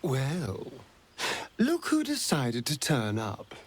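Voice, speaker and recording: Theatrical, drawling vampire rogue. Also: male voice, medium pitch, huge pitch swings, slow, breathy edge, heavily acted. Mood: wry, teasing. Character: flamboyant, sardonic vampire rogue with a tender streak. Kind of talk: theatrical video game character acting.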